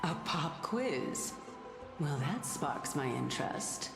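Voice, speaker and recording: Slow, echoing, teasing mysterious woman sage. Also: sits low, very animated, full character voice. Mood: poised, amused. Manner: languid, playful, coy, theatrical. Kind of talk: video game character acting. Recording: echoey long reverb under clear music.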